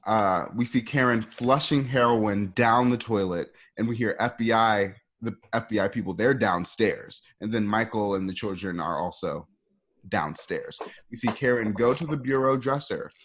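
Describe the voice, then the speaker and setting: A man narrating screenplay action for a radio play in an informal, hesitant way, with conversational asides. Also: fairly low pitch. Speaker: narrator reading stage directions.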